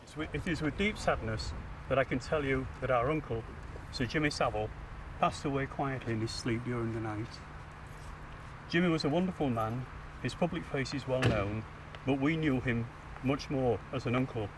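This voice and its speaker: A man's voice: medium pitch, moderate pace. A man acting as family spokesman, reading a formal prepared statement outdoors. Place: outdoors in a street. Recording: distant mic, clear outdoor traffic noise.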